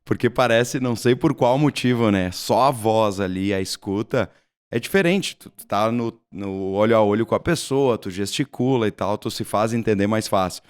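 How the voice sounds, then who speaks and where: A man's voice: on the low side, moderate pace, smooth. A man hosting a podcast, encouraging his guest and prompting him with questions. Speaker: podcast host. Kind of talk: casual interview chat.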